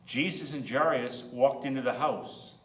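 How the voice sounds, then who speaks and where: A man's voice: mid-range voice, a little slow. A man reading a children's Bible story aloud as a priest, expressively, with simple asides to young listeners. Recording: roomy, distant mic, faint hum.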